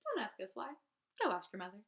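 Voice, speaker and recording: A woman's voice: medium pitch, huge pitch swings, fast talker, a bit bright. A woman reading rhyming children's verse aloud in a theatrical, emphatic teacher's manner, drawing out key phrases.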